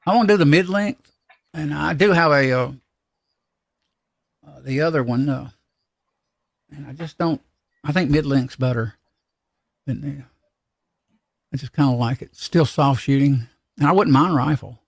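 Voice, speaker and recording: A man hosting a casual livestream chat, talking in a rambling, halting, folksy way. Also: a little high, creaky, no room sound, clean studio sound. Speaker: livestream host.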